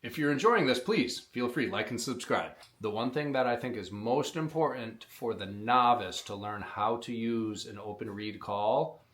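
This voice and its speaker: Plainspoken man teaching a hunting call. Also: mid-range voice. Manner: plainspoken, explains step by step to beginners. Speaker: call maker teaching predator calling. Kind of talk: instructional demonstration.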